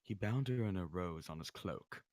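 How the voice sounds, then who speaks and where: A man at a table read playing a grave knight, solemn and portentous, in the manner of one telling dark legends. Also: deep voice, expressive, moderate pace, dry recording, clean studio sound. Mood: solemn, ominous.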